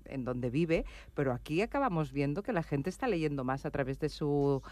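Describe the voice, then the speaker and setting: A woman's voice: low-pitched, expressive, a little mellow. Composed female interviewer. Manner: measured, composed presenter delivery. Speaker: radio interviewer. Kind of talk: scripted presenter questions.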